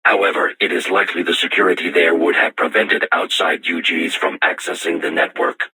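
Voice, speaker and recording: A man voicing a solemn self-aware robot war machine in a video game, with formal, measured, stilted diction and robotic processing on the voice. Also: low voice, level tone, bright, forceful tone, slight background noise, poor audio. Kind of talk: scripted video game voice acting.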